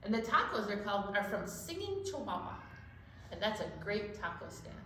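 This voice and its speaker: A woman presenting a children's storytime in a playful, animated way, moving between chatty talk and sing-along. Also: medium pitch, normal speed. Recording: very echoey room, distant mic, faint noise.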